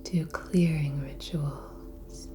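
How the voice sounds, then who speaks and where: A woman speaking soft, breathy ASMR in a near-whisper, gently hosting with long pauses between short phrases. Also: deep voice, fairly even intonation. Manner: slow, gentle, hushed delivery with long pauses. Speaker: ASMR channel host. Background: relaxing music with singing bowl tones.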